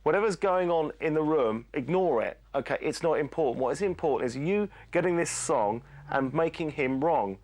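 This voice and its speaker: A man's voice: fairly high pitch, animated, moderate pace, hardly any pauses, a little mellow. Firm, blunt male vocal coach. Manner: firm, blunt pep talks. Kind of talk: coaching and reality TV interview.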